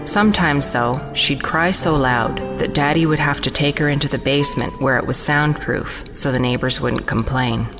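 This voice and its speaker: A woman narrates a scripted horror story in an exceptionally deep voice for a woman. Her reading has a long reverb tail and clear music underneath. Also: moderate pace, few pauses. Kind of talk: scripted first-person horror narration in a child's perspective.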